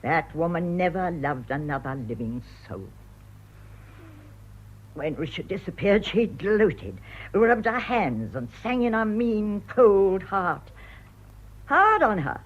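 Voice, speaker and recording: An actress playing a sharp-tongued, stubborn older village woman with an English accent, imperious and sardonic, in a radio drama. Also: on the low side, sing-song, takes it slowly, full character voice. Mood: bitter. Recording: dull, band-limited radio drama recording.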